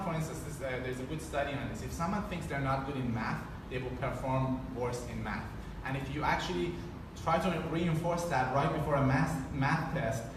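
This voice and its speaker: A man on a panel, explaining his view thoughtfully with many pauses and restarts. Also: medium pitch. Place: event hall. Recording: echoey hall, distant mic, background noise.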